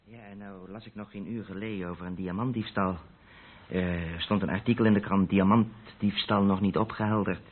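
An actor playing a defensive, flustered haulage boss in a radio drama, halting and stammering as he justifies himself. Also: deep voice, steady tone. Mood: hesitant, explaining. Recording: muffled narrow-band old radio recording.

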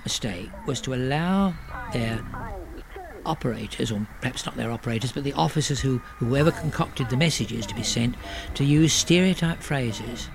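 An older man, a historian, explaining in an interview, halting and correcting himself as he goes. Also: mid-range voice, vocal fry, low-quality recording.

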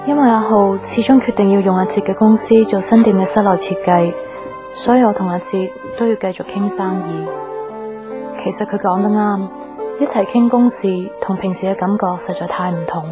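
An actress playing a wistful young woman in a radio drama, reflective and soft in manner. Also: medium pitch, brisk, close to an everyday voice. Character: wistful young woman still attached to old love. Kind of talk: Cantonese radio drama narration and dialogue. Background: background music.

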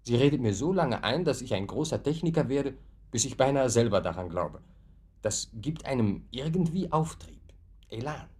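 A man's voice: medium pitch, animated, moderate pace, a little mellow, husky. A man playing a confident, breezy suitor in a German radio play, casual and self-assured. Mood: musing, animated. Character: confident, breezy male suitor, would-be technician.

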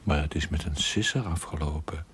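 An actor playing a sardonic, teasing inner voice in a television drama, mocking and knowing. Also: male voice, rumbling low, normal speed, a bit bright, slight background noise. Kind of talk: Dutch television drama acting.

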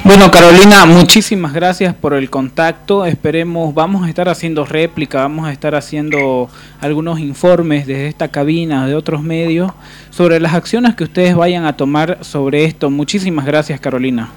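Higher-pitched man hosting live radio. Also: normal speed. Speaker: radio host. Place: radio studio.

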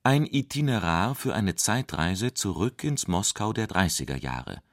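Very deep male reader, dry sound. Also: sing-song, measured pace, no room sound. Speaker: reader of quotations. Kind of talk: scripted literary reading.

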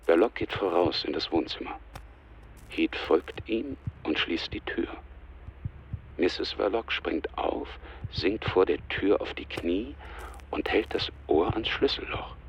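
Deep, gravelly male radio drama narrator. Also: lo-fi sound, slight background noise. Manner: measured, detached.

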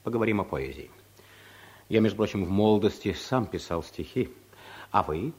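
An actor in a radio comedy playing a shy, awkward office clerk who turns stubbornly bold, with a hesitant, rambling, clumsily persistent way of talking. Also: male voice, lowish voice, lively intonation, normal speed, natural voice. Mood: nervous, awkward. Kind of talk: radio play comedy acting.

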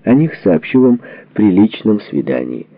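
A man reading a historical book as a narrator, in a measured, formal delivery, working through letters and documents. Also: on the low side, big pitch swings, no echo, narrow, tinny audio. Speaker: audiobook narrator.

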